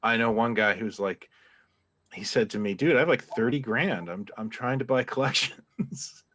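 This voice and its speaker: A man from Canada chatting about vintage toys in a halting, self-deprecating way, with many restarts. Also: medium pitch, a bit bright, no echo. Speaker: toy collector and writer. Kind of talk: casual panel chat.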